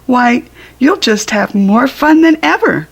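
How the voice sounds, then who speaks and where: A friendly little squirrel from a children's storybook, voiced by a woman narrator. It gently chides and then reassures, explaining things kindly. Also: fairly high pitch, expressive, takes it slowly, gravelly, husky, pitched above the performer's own voice, full character voice. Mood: gently chiding, reassuring.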